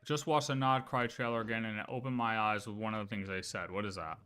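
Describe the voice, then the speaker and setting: A man's voice: fairly low pitch, steady tone, quick pace, bright, forceful tone, faint music behind. A man chatting casually as a game livestreamer, emphatic and slangy, often repeating his phrases.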